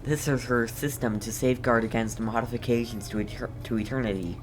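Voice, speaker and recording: A woman's voice: very deep voice, steady tone, brisk. A woman streamer giving casual let's play commentary, thinking aloud in a hesitant way. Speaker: game streamer. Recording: faint background noise.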